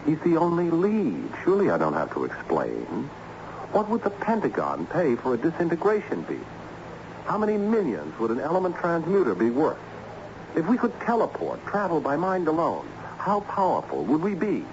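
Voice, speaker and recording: An actor playing an earnest, learned schoolteacher who speaks insistently and didactically in a radio drama. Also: male voice, on the high side, wildly swooping pitch, normal speed. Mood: urgent, rhetorical. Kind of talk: old-time radio drama acting. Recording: muffled, noisy radio drama recording.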